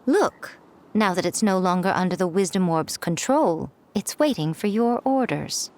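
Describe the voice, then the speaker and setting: A woman's voice: on the low side, lively intonation, measured pace, darkish tone. Elegant, teasing, lilting woman. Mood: calm, knowing. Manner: haughty, composed, knowing. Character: elegant, teasing, self-assured mystical woman. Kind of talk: English game dub voice acting.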